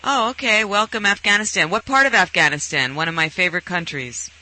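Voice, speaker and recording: A man's voice: sits high, a little fast, pushed and bright, poor audio. A man hosting a call-in talk show, giving advice in a conversational style.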